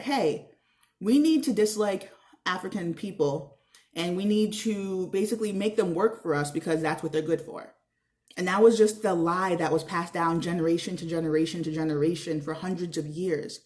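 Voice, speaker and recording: A woman's voice: on the low side, darkish tone. A woman giving an educational read-aloud with explanatory asides, earnest and encouraging as she speaks directly to young listeners. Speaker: teacher reading a children's book aloud.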